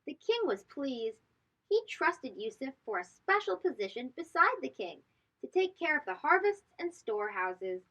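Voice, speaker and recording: A woman's voice: sits high, big pitch swings, moderate pace, bright, forceful tone, highs cut off. A woman reading a children's storybook aloud, expressively and speaking directly to young listeners. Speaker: host of children's read-aloud show.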